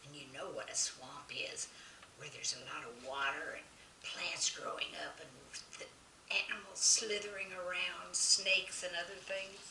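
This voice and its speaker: Bright-voiced woman storyteller in a roomy space. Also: fairly low pitch, measured pace. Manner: expressive, addresses young listeners directly. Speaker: author reading own children's stories. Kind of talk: children's story narration with chat. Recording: roomy, distant mic, faint noise.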